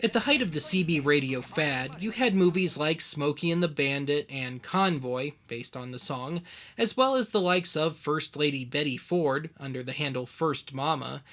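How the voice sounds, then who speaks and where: A man narrating a scripted documentary as its host, with wry, deadpan humour. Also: a little high, moderate pace.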